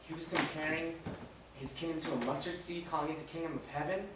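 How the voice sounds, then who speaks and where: A man playing a repentant crucified robber in a live dramatic monologue, impassioned and moving between hushed confession and raised, forceful speech. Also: on the high side, steady tone, fast, lightly acted. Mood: regretful, agitated. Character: repentant condemned robber crucified beside Jesus, rough-living, remorseful. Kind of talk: live dramatic monologue, first-person storytelling. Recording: very echoey room, distant mic, faint noise.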